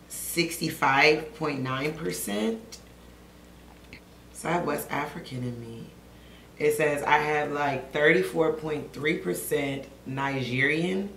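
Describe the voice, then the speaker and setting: A young woman vlogging to camera at home, gushing and excited as she talks to her viewers. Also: deep voice, roomy sound, slight background noise. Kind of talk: casual vlog talk to camera. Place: living room at home.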